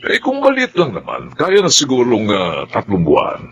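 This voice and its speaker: Expressive, kindly Filipino older father. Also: medium pitch, moderate pace, slight background noise. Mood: cheerful, obliging. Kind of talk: Filipino radio drama acting.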